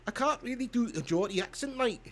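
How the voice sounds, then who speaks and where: A man's voice: very high-pitched, lively intonation, fast talker. A young man hosting a live football watch-along, chatting casually with viewers and laughing often. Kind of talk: casual live commentary reading chat.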